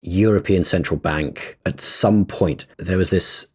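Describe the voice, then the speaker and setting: A man co-hosting a podcast in casual chat, animated and stumbling over his words as he gets going. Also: deep voice, a little slow, husky. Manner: animated, restarts often, stresses words. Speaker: podcast co-host.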